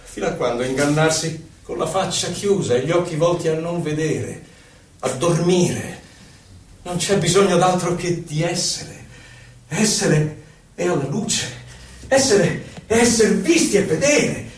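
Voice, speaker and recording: High-pitched anguished poet played by a man, echoing. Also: measured pace, close to an everyday voice. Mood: defiant. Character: anguished male poet on an inward journey, impassioned. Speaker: stage actor. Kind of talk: theatrical poetry recitation. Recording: very echoey hall, distant microphone.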